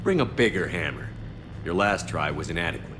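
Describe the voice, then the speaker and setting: An actor playing a dry-witted, confident military commander in a video game, speaking curtly and deadpan. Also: male voice, low voice, a little fast, background noise. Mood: determined. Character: steady, dry-witted male military commander, space hero. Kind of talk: cinematic video game dialogue.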